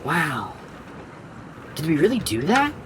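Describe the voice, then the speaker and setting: Wide-eyed eager little boy: very high, lively pitch, audio drama, faint noise. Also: slow pace, exaggerated voice. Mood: awed.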